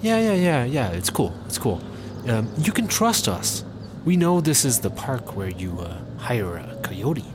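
A man's voice: medium pitch, sing-song, measured pace, noisy background. A man playing a scheming, blustering American ex-cop in a comedic audio drama, with an American accent. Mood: hesitant, persuasive.

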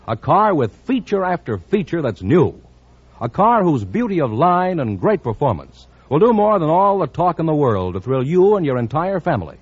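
A man's voice: fairly high pitch, slightly rough. An American man announcing in scripted mid-century radio style with a polished, salesman-like delivery. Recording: muffled old radio recording.

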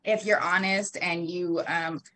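A woman's voice: fairly low pitch, fairly even intonation, measured pace, fairly bright tone. A woman in her thirties talking casually as an interview guest, chatty with frequent laughs and fillers. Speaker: guest, marketing and PR company founder.

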